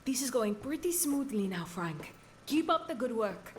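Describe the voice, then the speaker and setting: A young woman scientist working through a zombie outbreak in a video game. Her voice sits on the high side, earnest and businesslike, with clear noise behind it. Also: big pitch swings, normal speed. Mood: hopeful.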